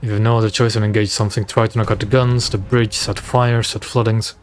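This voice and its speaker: A man's voice: lowish voice, fast talker, background noise. Flemish man casually streaming a game. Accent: Flemish Dutch. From West Flanders, Belgium. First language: Dutch. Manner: relaxed, rambling, answers chat between game calls. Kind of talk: casual gameplay stream commentary.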